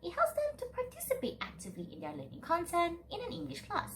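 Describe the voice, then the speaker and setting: A woman's voice: very high voice, huge pitch swings, fast, creaky, slight background noise. A young adult woman giving a scripted academic presentation in an emphatic, formal delivery, as a student presenter.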